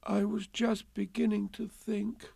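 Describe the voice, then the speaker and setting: Storybook toy bear: slow, hesitant, self-pitying, voice swooping up into plaintive worry. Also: male voice, mid-range voice, expressive, creaky, mellow, dry recording, heavily acted. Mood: tearful, sorry. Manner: hesitant, plaintive, drawn-out delivery.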